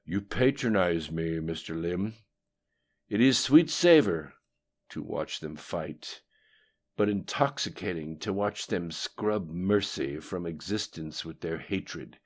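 A man voicing a menacing ancient being in an audiobook, gravelly, grandiose and contemptuous. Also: low voice, lively intonation, takes it slowly, heavily acted. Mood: gloating. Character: menacing ancient male being speaking through a screen. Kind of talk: audiobook character dialogue.

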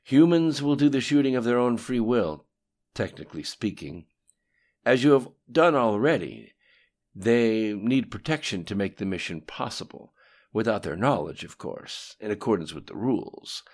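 A cold, composed male conspirator, acted by a male audiobook reader, reports to a dark master. He is deferential yet unafraid and matter-of-fact, with his pitch lifted in emphatic dialogue. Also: animated, normal speed. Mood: businesslike.

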